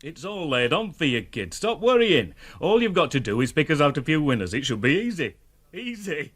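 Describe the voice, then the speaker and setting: A man's voice: fairly high pitch, very animated, moderate pace, fairly bright tone. A man with a Northern English accent acting an eager, cajoling working-class betting organiser in a radio drama. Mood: breezy, encouraging.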